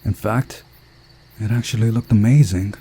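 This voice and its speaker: A man reading a visual novel aloud as a narrated story, giving the characters voices, in a relaxed, unhurried way. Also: lowish voice, slightly rough.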